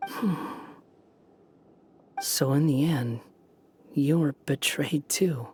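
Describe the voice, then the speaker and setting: A man's voice: fairly high pitch, darkish tone, a little hiss or hum. A man voicing a weary, sardonic, brooding dragon with a world-weary manner in a game. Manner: very slow, sighing, world-weary. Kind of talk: dramatic game voice acting.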